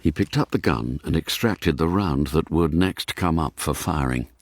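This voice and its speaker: A man narrating a spy thriller in a measured way for a radio drama. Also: very deep voice. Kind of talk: scripted narration.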